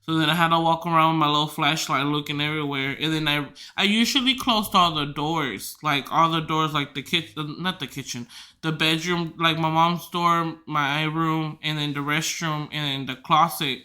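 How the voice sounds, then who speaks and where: A man hosting a podcast who tells rambling stories loudly, swearing freely and filling his talk with "like". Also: a little high, fairly even intonation, moderate pace. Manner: loud, swears freely, filler-heavy rambling. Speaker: podcast host. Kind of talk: casual storytelling chat.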